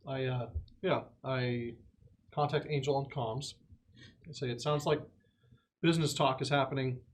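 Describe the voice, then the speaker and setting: A man of medium pitch takes his turn in a tabletop roleplaying game. He speaks casually, describing actions and voicing dialogue, over faint background noise with some clicking. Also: fairly even intonation, a little slow.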